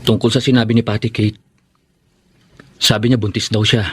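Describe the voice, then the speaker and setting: A man's voice: lowish voice, flat delivery, normal speed, breathy edge. An actor playing an earnest young man in a Filipino radio drama, serious and questioning. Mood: serious, anxious. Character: earnest young bachelor King, seeking the truth.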